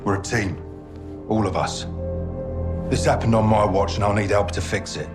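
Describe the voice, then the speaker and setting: An actor playing a terse, calm special forces lieutenant who gives commands. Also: male voice, lowish voice, normal speed, lightly acted. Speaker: squad lieutenant. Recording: music score behind.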